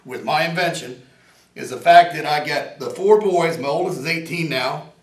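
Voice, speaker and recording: Raspy-voiced man, rambling and earnest. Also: on the high side, normal speed. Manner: rambling, self-joking, earnest. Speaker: inventor and veteran interviewee. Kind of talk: casual interview talk. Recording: roomy echo, from a distance.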